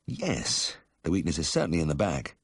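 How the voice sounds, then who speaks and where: A man's voice: deep voice, wildly swooping pitch, moderate pace, vocal fry, slightly rough, no room sound, clean studio sound, full character voice. A man voicing a kindly, careful country vet who explains things politely in a story reading. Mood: careful, explanatory. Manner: courteous, explanatory.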